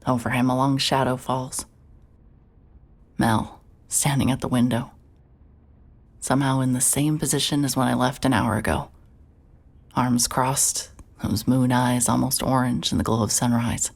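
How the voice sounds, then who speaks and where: Very deep, husky, weary investigator. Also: female voice, steady tone, unhurried, long pauses, heavily acted. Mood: brooding, wistful. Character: guarded, weary, introspective woman private investigator. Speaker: narrator and lead character. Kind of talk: scripted first-person noir horror audio drama narration.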